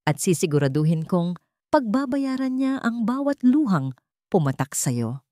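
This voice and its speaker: A woman's voice: mid-range voice, very animated, moderate pace, warm tone, no echo, studio quality, voice pushed up, lightly acted. A woman narrator voicing a serious, firm, protective man with a commanding manner in an audio love drama. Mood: menacing, firm. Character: grave, protective wealthy man, firm and threatening.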